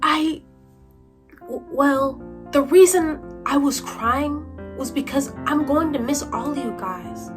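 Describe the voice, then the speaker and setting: A woman's voice: high-pitched, takes it slowly, music in the background. A woman playing a lovestruck, tearful young storybook heroine who stammers and speaks melodramatically. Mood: hesitant, sad.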